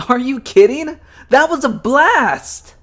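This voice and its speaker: A woman playing an excitable, daring young adventurer in an amateur audio drama. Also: high-pitched, slow. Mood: thrilled. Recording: clear background noise, ambience.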